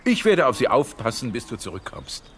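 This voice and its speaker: An actor playing a helpful, simple farm servant in a Bible audio drama. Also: male voice, medium pitch, huge pitch swings, a little fast. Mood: dutiful. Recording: clear outdoor animal and bird noise.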